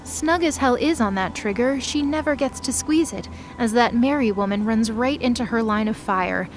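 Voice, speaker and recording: Woman, higher-pitched, scripted dramatic narration, audio drama, music underneath. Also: moderate pace.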